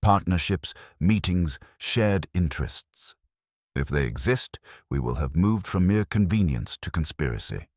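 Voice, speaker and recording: Man doing a pompous brainy detective for a mystery audiobook, super low husky voice, formal, bossy. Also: lively intonation, a little slow, dry recording, clean studio sound, full character voice. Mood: commanding. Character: imperious, cerebral male detective who speaks in pronouncements.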